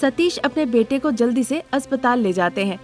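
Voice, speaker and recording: High-pitched woman narrating a story. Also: lively intonation, normal speed, darkish tone, soft background music.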